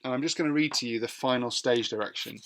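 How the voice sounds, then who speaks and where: A man with a British accent speaking as a teacher and podcast host, explaining things in a measured, somewhat hesitant way. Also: medium pitch, a bit bright, slight background noise.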